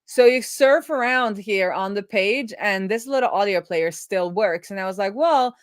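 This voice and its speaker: A woman hosts a casual live coding stream, thinking aloud in an informal way. Her voice sits at a middling pitch, and the sound is dry, with very little room in it. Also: normal speed, no room sound. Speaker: live stream host, web developer.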